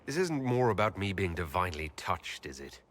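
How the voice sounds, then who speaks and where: An actor playing a humble but firm young heroic leader in a fantasy game, with an earnest, deliberate delivery. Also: male voice, deep voice, very animated, exaggerated voice. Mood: doubtful, questioning. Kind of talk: dramatic fantasy game acting. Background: loud orchestral music.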